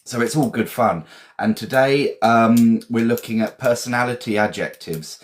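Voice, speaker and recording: A man's voice: medium pitch, normal speed. A man from England with an English accent, teaching a casual live vocabulary lesson in a chatty, encouraging, informal way and often repeating phrases.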